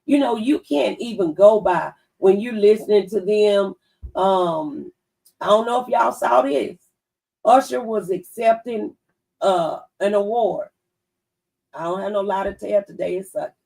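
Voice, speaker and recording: Gravelly woman testifying, off-mic. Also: mid-range voice, measured pace, dark tone, recorded from a distance, rough recording. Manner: emphatic, testifying in a preacher-like way. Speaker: talk show host. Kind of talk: radio talk show conversation. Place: radio studio.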